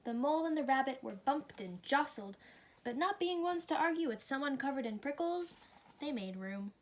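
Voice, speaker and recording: A woman reading a children's story aloud with expressive, clearly phrased delivery. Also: high voice, moderate pace. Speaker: storybook reader.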